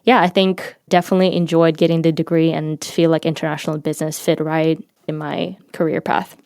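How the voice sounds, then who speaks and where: A young woman from Ukraine, a native Ukrainian speaker, speaking as a podcast guest in a measured, reflective manner. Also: low-pitched, level tone, a little mellow, clean tone, dry recording, clean studio sound. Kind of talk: casual interview talk.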